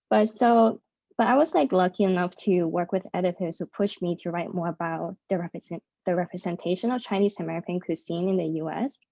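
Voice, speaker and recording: A woman's voice: fairly low pitch, mellow, no echo, muffled recording. A woman born in Hong Kong and raised in New York, a freelance journalist speaking conversationally on a panel, with frequent fillers, over a video call. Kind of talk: prepared panel remarks.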